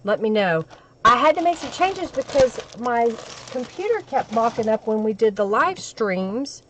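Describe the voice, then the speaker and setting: Woman, crackly hoarse voice, slightly high, unhurried, chatty live-stream crafter. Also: big pitch swings. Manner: chatty, talks to viewers while she works. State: hoarse from a cold.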